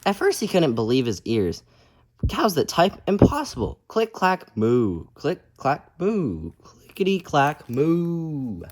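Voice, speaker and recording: A man's voice: fairly high pitch, huge pitch swings, slow pace, a little mellow, a little hiss or hum. A man hosting a children's read-aloud, reading expressively and speaking in an encouraging way. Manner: encouraging, addressing listeners as friends.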